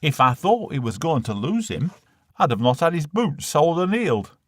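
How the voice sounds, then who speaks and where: A man's voice: fairly high pitch, big pitch swings, normal speed, a bit bright, no echo, clean studio sound, voice pushed up. A man voicing a plaintive, matter-of-fact working-class mother with a Northern English accent in a comic verse story. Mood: plaintive, glum.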